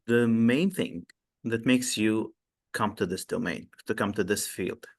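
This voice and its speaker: A man's voice: lowish voice, unhurried. A man hosting a podcast interview, asking polite, encouraging and long, winding questions. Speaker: podcast host.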